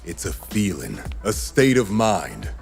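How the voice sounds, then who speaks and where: Low, gravelly cartoon tough guy male actor, deadpan hitman who takes himself very seriously. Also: slow, soft background music, full character voice. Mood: deadpan, smug. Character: gruff hard-boiled male hitman, crude and self-serious. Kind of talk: comedic anime parody voice acting.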